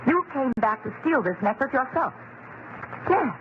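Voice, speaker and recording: A cool, scheming wife in an old radio suspense play. Her delivery is haughty and clipped. The vintage recording is dull, with clear background noise. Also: high voice, big pitch swings, normal speed. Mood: accusatory. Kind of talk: old-time radio drama acting.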